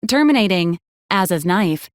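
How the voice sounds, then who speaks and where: A woman playing a video game support robot, formal and literal, speaking in measured, politely officious protocol announcements. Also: on the low side, lively intonation, smooth, no room sound, studio quality, lightly acted. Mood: flat, procedural. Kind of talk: video game character voice lines.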